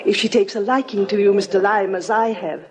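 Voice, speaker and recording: A woman's voice: medium pitch, steady tone, a little fast, natural voice. Hesitant noblewoman played by an actress, old radio drama, crowd sound behind. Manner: hesitant, genteel, many ums. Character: refined English noblewoman, hesitant. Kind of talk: 1940s radio acting.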